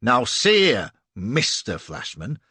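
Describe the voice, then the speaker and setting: An actor playing a ruthless sea captain with a slow, commanding, threatening way of speaking. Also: male voice, mid-range voice, huge pitch swings, pushed and bright, husky, dry recording, exaggerated voice. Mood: menacing.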